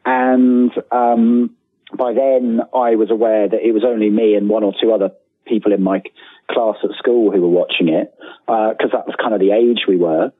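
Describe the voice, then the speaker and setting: Husky British man on a thin phone-like line. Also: fairly low pitch, fairly even intonation, moderate pace, no room sound. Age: 40s. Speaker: guest author. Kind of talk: casual interview conversation. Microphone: remote call. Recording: thin phone-like call, dry.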